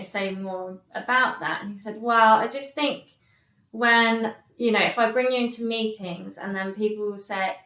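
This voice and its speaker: A woman in her thirties talks through a personal story as a podcast guest. She speaks at a middling pitch, stumbling and restarting often, quoting back what others said. The room around her rings with echo. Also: a bit bright. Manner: halting, many restarts and fillers, quotes others. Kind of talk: candid interview storytelling. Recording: echoey room.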